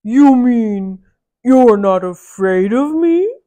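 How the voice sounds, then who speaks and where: Woman putting on a huge, booming, boastful giant. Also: lively intonation, very slow, dark tone. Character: huge boastful male giant, thunderous and scornful. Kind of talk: children's storybook character voice.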